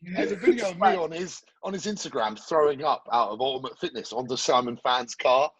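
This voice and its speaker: A man's voice: mid-range voice, fairly quick, a bit bright, low-quality recording. A man in his twenties co-hosting a casual podcast chat, telling stories animatedly with plenty of swearing. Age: 20s. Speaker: podcast co-host.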